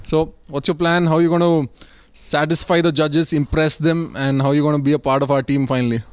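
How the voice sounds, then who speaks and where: A man's voice: fairly high pitch, flat delivery, a little fast, clean tone, dry recording. A young man hosting a comedy audition sketch, playful and teasing. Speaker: host.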